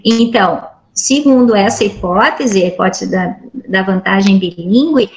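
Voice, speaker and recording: A woman's voice: medium pitch, measured pace, low-quality recording. A middle-aged woman from Brazil giving an academic lecture over a video call, explaining patiently and checking in with frequent tag questions. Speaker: guest lecturer.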